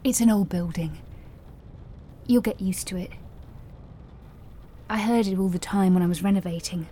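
An actress playing a nervous, apologetic lighthouse hostess who rambles in a flustered way in an audio drama. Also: female voice, mid-range voice, normal speed, mellow, slight background noise, natural voice. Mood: wistful, defensive.

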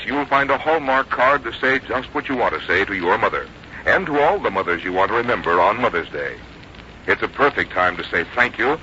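A male announcer reading a scripted sponsor message in a polished, measured, earnest 1950s radio style. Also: medium pitch, sharp tone. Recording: dull, noisy 1950s radio recording.

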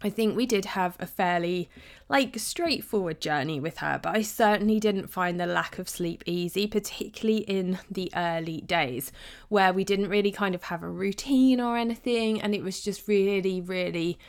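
A woman in her thirties talks straight to her listeners in a relaxed, confiding podcast chat. Her voice is a little bunged up from a cold, and her speech is loose and full of fillers. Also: medium pitch, moderate pace. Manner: chatty, confiding, with many fillers. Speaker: podcast host. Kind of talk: casual podcast monologue.

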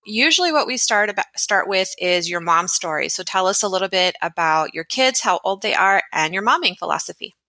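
A woman's voice: mid-range voice, expressive, fairly quick, few pauses, sharp tone, no room sound. A woman hosting a podcast interview, giving affirming interjections and using religious phrases. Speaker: podcast host.

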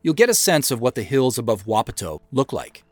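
An American man giving measured, thoughtful director's commentary on an audio drama he created, in a reflective scripted style. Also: medium pitch, big pitch swings, no room sound, faint music behind. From United States, Pacific Northwest.

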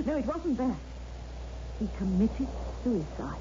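An actress playing an anxious, suspicious wife in a 1960s radio suspense drama, fretful and earnest in manner, on an old radio recording. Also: female voice, fairly high pitch, big pitch swings, slow, highs cut off, noisy background. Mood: urgent, fearful. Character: anxious, suspicious wife, unsettled traveller on stormy night.

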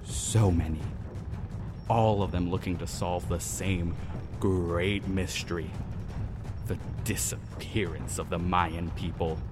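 Vengeful Mayan guide, low voice, very slow delivery, mocking, menacing, audio drama. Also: male voice, steady tone, music in the background. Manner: taunting, deliberate, menacing. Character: vengeful Mayan man, mocking guide luring intruder to doom.

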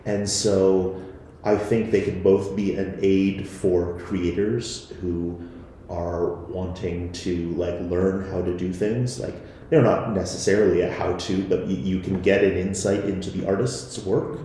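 A man's voice: deep voice, steady tone, a little slow, mellow. A man on a discussion panel, talking in an earnest, considered way. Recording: echoey room, faint noise.

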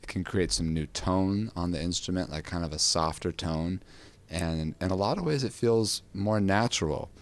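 Low raspy-voiced man hosting a podcast. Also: moderate pace. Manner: relaxed, rambling, with many fillers. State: raspy from a cold. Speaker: podcast host. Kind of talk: casual podcast chat about guitar.

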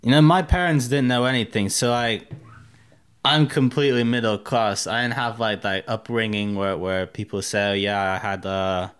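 A man's voice: lowish voice, fairly even intonation, normal speed, fairly bright tone, clean tone. A man in his thirties from Russia with a mixed Russian, American and English accent, chatting candidly and profanely as an interview guest, full of fillers. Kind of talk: casual interview chat.

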